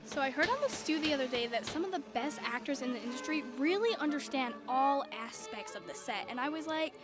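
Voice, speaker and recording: Woman as a high-pitched, gushing teenage girl. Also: expressive, a little fast. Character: gushing, self-important teenage drama girl. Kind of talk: comedic audio drama acting. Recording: music behind the voice.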